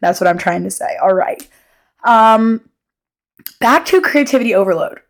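A woman's voice: fairly high pitch, animated, normal speed. A woman of twenty hosting a solo podcast in a casual, unscripted way, candid and rambling, often interrupting herself. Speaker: podcast host.

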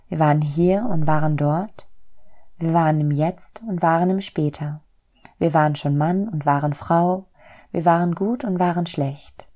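A woman voicing a kindly, loving soul in a storybook, gentle, reassuring and earnest. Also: low voice.

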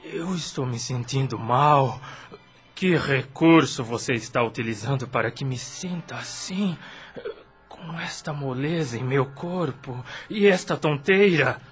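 A man's voice: mid-range voice, soft background music, natural voice. A man playing a rebellious, vengeful, bitter spirit in a radio drama, with a hostile, confrontational manner. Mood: weakened, suspicious. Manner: hostile, confrontational, drawn-out delivery.

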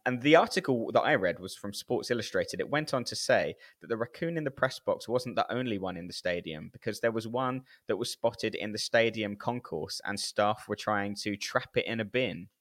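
A man with a somewhat low voice co-hosts a football podcast. His delivery is casual and anecdotal, as if talking things over with a colleague. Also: normal speed, hardly any pauses, fairly bright tone. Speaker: podcast co-host. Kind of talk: casual chat and storytelling.

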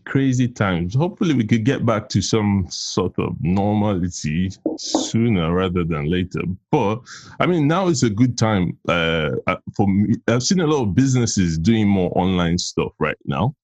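A man's voice: lowish voice, lively intonation, normal speed, a little mellow, no echo. A man hosting a podcast, talking casually and with enthusiasm to his guest. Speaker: podcast host.

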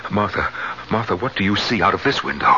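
Deep-voiced, brooding jealous husband. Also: male voice, fairly quick, pushed and bright, a little hiss or hum. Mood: bewildered agitation. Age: middle-aged. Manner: brooding, intense, dramatic. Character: jealous, anguished middle-aged husband, suspicious of his wife. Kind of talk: 1970s radio drama acting and narration. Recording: muffled vintage radio broadcast, music underneath.

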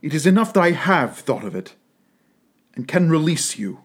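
A man voicing a gentle, sorrowful young woman who speaks gravely and steadily in a soft, pleading tone. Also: a little high, big pitch swings, moderate pace, heavily acted. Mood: earnest.

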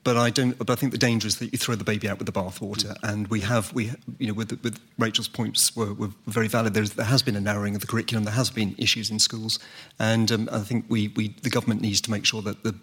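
A man's voice: fairly low pitch, level tone, quick pace, a bit bright, husky. A middle-aged man giving a spontaneous, hesitant comment from the audience, often repeating words. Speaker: audience questioner, head teacher.

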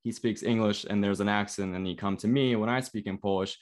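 A young man born in Canada hosts a football podcast with a fairly low voice. He asks his questions eagerly and keeps the chat friendly. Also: fairly even intonation, brisk. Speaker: podcast host. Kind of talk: interview hosting.

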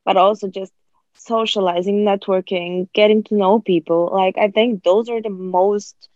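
A woman hosting a podcast interview, asking thoughtful questions in a measured way. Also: medium pitch, steady tone, darkish tone, dry recording, lo-fi sound. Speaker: podcast host. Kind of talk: interview chat.

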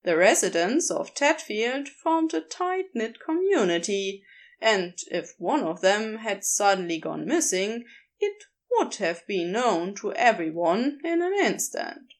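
Expressive, slow-paced woman reading a story. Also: medium pitch. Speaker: podfic narrator. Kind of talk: scripted narration, storytelling.

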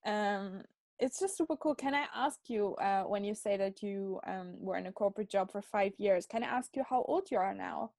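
A woman's voice: medium pitch, normal speed, darkish tone, dry recording, clean studio sound. A woman hosting a podcast, interviewing a guest in a gushing, enthusiastic way. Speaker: podcast host.

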